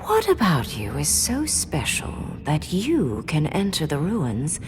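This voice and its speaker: A woman playing a coldly pragmatic, curious archmage in a video game, speaking in a measured, aloof manner. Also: sits low, wildly swooping pitch, slight background noise, heavily acted. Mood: intrigued.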